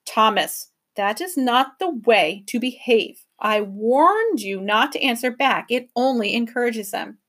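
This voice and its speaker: A woman voicing a calm, stern older male professor who speaks in a measured, firm and steady way. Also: fairly high pitch.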